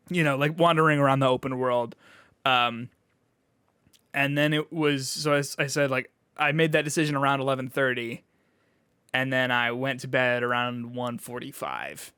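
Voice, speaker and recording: A man's voice: mid-range voice, sharp tone, smooth, no echo, studio quality. A man co-hosting a podcast, chatting casually about video games in an informal, hedging way peppered with "like". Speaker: podcast co-host.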